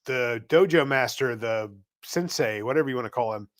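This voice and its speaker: A man's voice: mid-range voice, normal speed. A middle-aged man in casual conversation as a podcast co-host. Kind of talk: casual chat.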